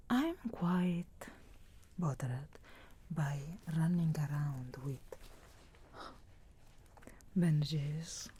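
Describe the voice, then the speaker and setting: A woman playing a sharp-tongued, sarcastic, reluctantly caring witch in a soft whispered ASMR fantasy roleplay, with hushed musing hums and deliberate pauses. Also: low-pitched, a little hiss or hum, full character voice. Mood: weary, bossy.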